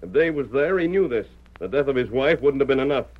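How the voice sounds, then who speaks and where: An actor playing a calm, firm masked cowboy hero in an old-time radio western, speaking with deliberate authority. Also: male voice, mid-range voice, natural voice. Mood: firm, reasoning. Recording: muffled vintage radio recording.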